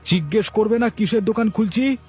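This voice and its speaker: A man's voice: high-pitched, brisk, darkish tone, faint music behind, natural voice. A man voicing an aggrieved, envious village man in a cartoon, speaking in an indignant, boastful, emphatic way. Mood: defiant. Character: aggrieved, envious village man plotting a rival food stall. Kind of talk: animated moral story dialogue.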